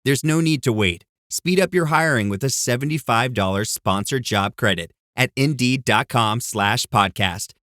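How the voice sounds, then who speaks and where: A man's voice: mid-range voice, normal speed, few pauses, fairly bright tone, dry recording. Man reading an ad, dry sound. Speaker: ad announcer. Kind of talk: scripted ad read.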